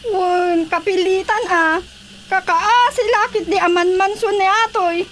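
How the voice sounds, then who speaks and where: A woman's voice: very high voice, measured pace, fairly bright tone, slight background noise. A woman with an Ilocano accent acting a pleading, chatty village mother in a radio drama comedy. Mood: resigned, coaxing.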